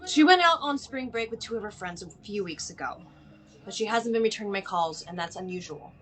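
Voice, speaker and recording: A woman's voice: mid-range voice, expressive, a little fast, lightly acted. A woman playing a frustrated, worried mother who bluntly demands help from the police, in a film table read. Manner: blunt, exasperated, sharp-tongued.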